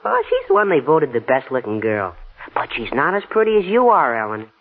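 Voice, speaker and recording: A man's voice: a little high, huge pitch swings, fairly quick, heavily acted. Eager boy, tinny old radio. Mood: boyish, chatty. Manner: boyish, gushing, hesitant stammer. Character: eager, shy teenage boy recovering in a wheelchair. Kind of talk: 1940s radio acting. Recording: muffled, tinny 1940s radio broadcast.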